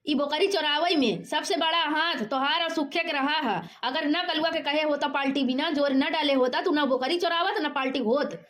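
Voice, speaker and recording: Scolding cartoon auntie played by a woman: very high, sharp voice, Awadhi accent, bossy. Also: moderate pace, few pauses. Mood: accusing. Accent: Awadhi, eastern Uttar Pradesh. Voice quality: shrill, piercing. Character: scolding, bossy village auntie hunting her stolen goat. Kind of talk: cartoon dialogue in rustic dialect.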